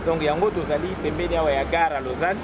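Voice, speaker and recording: A man's voice: a little high, fairly even intonation, fast. A man with a Congolese accent presenting an on-location video report outdoors as a reporter. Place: outdoors by a train station. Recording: noisy street recording with traffic.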